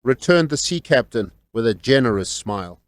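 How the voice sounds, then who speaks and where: A man's voice: mid-range voice, expressive, moderate pace, no room sound. A man narrating a scripted comic tale as a wry, tongue-in-cheek storyteller.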